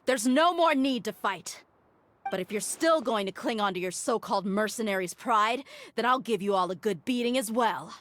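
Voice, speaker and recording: A woman's voice: a little high, expressive, moderate pace, a bit bright, slight background noise. An actress playing a bold young woman mercenary who talks in a taunting, commanding way. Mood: cocky. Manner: taunting, commanding battle cries. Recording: game audio with music behind.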